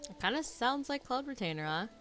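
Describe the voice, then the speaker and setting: A woman's voice: fairly high pitch, animated, a little fast. A woman streamer giving casual live reactions as she plays a video game. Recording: loud game music behind the voice. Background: video game music.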